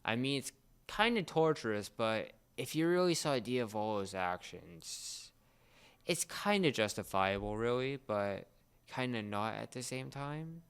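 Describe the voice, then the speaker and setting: A man with a clean, smooth voice at a middling pitch talks casually as a co-host. He explains things step by step, often restarting and repeating a phrase as he goes. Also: animated, unhurried, fairly bright tone. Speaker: podcast co-host explaining a series.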